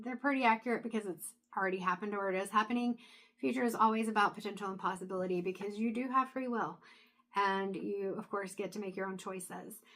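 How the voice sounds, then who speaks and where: A woman giving a casual intuitive tarot reading to camera, chatty and thinking aloud as she goes, her voice going hoarse and breaking into throat clears. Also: medium pitch, a bit bright, low-quality recording. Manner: chatty, thinks aloud, frequent fillers and restarts. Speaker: tarot reader.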